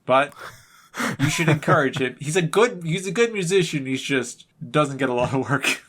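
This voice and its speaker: A man's voice: a little high, lively intonation, moderate pace, fairly bright tone. Rambling, self-deprecating man co-hosting a podcast. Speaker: podcast co-host. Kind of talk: casual unscripted banter.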